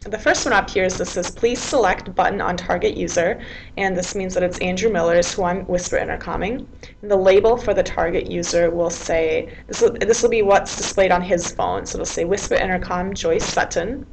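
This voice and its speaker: A woman presenting a software tutorial in an informal, explanatory, step-by-step way. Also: fairly low pitch, normal speed, a little hiss or hum, rough recording. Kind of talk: software tutorial narration, semi-scripted.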